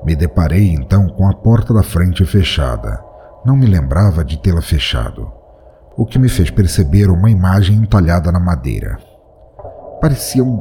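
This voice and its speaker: Deep, dark-voiced man narrating horror. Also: slight background noise. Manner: measured, grave storytelling with small stumbles. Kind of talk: first-person horror story narration.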